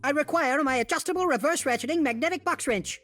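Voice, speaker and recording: An actor playing a small, eccentric, excitable yordle scientist in a video game, with a cartoonish voice and pedantic, theatrical delivery. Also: male voice, fast, soft background music. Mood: scholarly, confident. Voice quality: high-pitched cartoonish voice. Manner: pedantic, theatrical, wordy technical phrasing. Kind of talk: video game character voice lines.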